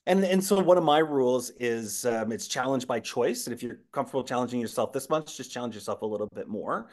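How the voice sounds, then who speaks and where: Male podcast guest, team-building trainer, mid pitch, chatty storyteller with many restarts. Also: a little fast. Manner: animated storyteller with frequent fillers and restarts. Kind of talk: casual podcast chat.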